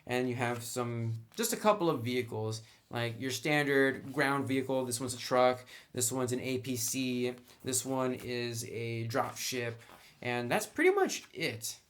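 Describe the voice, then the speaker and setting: A man's voice: medium pitch, expressive, a little slow, a bit bright. A man giving a casual, unscripted review as he browses through a book, talking conversationally through each item.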